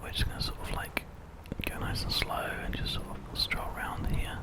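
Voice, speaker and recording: A British man whispering breathily, very close to the mic, in a gentle, unhurried ASMR game commentary. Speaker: ASMR host and gamer. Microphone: very close microphone.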